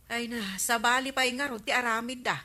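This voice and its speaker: A woman's voice: fairly high pitch, flat delivery, fairly quick, sharp tone. A woman with an Ilocano Filipino accent playing an exasperated village councilwoman in a radio comedy drama. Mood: complaining, confiding.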